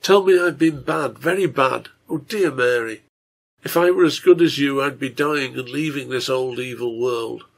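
A man's voice: medium pitch, animated, normal speed, creaky, a bit bright, husky, full character voice. A man voicing a remorseful drunkard father in a story, tender and anguished, pleading with a breaking voice. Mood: bitter self-reproach. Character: wretched guilt-ridden drunkard father, tender, despairing.

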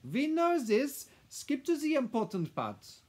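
Man playing an imperious, high-voiced Frenchwoman. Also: very animated, moderate pace. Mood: impatient. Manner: haughty, curt, cutting. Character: imperious, impatient French housekeeper guardian woman.

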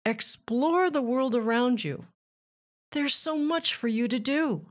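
Slow-paced woman reading aloud to children. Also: high-pitched. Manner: measured, deliberate phrasing addressed to children. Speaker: children's author and narrator. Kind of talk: read-aloud of a rhyming children's nature book.

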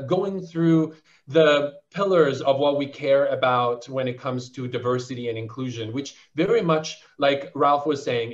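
A man answering a panel question over a video call, his voice at an ordinary middle pitch. He speaks articulately but keeps restarting and filling pauses with um. The audio is somewhat dull and lo-fi. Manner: articulate, fluent with frequent um fillers and restarts. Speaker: panelist, venture investor.

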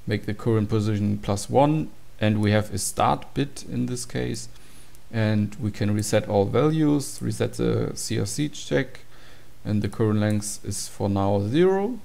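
Male tutorial presenter: low pitch, gravelly fry, plain matter-of-fact explaining. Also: measured pace, dark tone. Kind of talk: informal screen-recorded code walkthrough.

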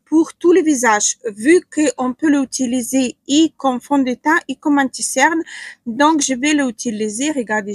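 A woman's voice: high voice, slightly rough, dry recording. A woman in her forties from Ukraine, speaking with a Ukrainian accent as a non-native speaker, chatting casually through a makeup tutorial at home with frequent hesitant fillers. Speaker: beauty vlogger. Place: small room at home, indoors.

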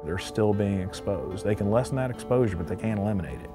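A man's voice: fairly low pitch, lively intonation, quick pace, music in the background. A man in his fifties, an environmental health researcher explaining in a documentary interview.

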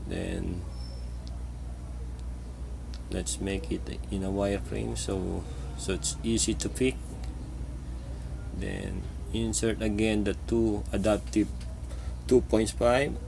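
A man narrating a step-by-step software tutorial in terse, halting short phrases. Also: on the low side, fairly even intonation, vocal fry, noisy background.

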